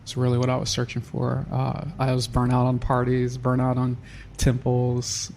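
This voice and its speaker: A man guest on a podcast, talking through how he started a charity in a halting, unhurried way with frequent restarts. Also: mid-range voice, completely flat delivery, darkish tone, slight background noise. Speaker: nonprofit founder, guest. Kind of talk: casual interview.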